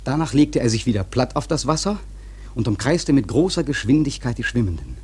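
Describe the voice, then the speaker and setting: Male narrator, husky mid-range voice, dramatic literary storytelling for radio drama. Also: mid-range voice. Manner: measured, expressive storytelling.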